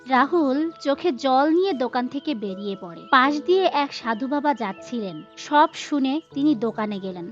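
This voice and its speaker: A woman's voice: sits high, expressive, fairly quick, muffled recording, faint music behind. A woman giving scripted storybook narration for a cartoon tale.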